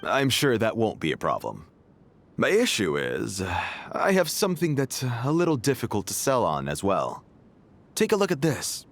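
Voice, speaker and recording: A man playing an affable but wary desert guard with a sly streak in a video game, expressive and probing. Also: on the low side, normal speed, slight background noise. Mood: sly, coaxing. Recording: music under the voice.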